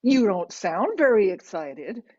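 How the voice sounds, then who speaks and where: A woman's voice: medium pitch, big pitch swings, slow pace, darkish tone, lo-fi sound. A woman narrator voicing a breezy, self-absorbed eighty-year-old mother with a theatrical, insistent manner in an audiobook story. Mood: needy, insistent.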